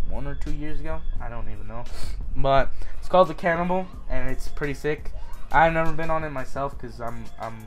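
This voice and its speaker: A man's voice: medium pitch, poor audio. A young man from Utah answering viewer questions in a casual, rambling vlog, full of fillers and restarts.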